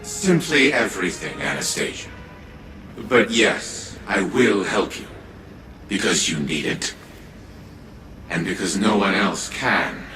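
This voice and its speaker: An actor playing an arrogant old scientist turned artificial mind in a video game, formal and condescending. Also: male voice, deep voice, expressive, slow pace, pauses often, full character voice. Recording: loud music under the voice.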